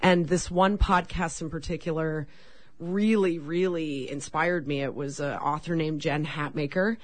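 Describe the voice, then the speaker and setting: A woman musician with a raspy voice, chatting casually in an interview, friendly and laughing easily, with many fillers. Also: low voice, steady tone, measured pace, dry recording. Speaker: touring musician guest.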